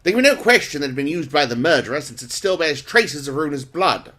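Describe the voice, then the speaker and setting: Casting: flustered male police chief, lightly high, forceful and very animated, period radio play. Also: fast. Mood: insistent. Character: harried, indignant male police chief under pressure. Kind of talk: radio drama acting.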